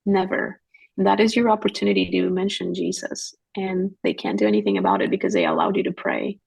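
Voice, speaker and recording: Husky, gravelly-voiced woman telling stories. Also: medium pitch, expressive, a little fast, a little mellow, rough recording. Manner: earnest, storytelling, quotes others in dialogue. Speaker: guest missionary. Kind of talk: podcast conversation.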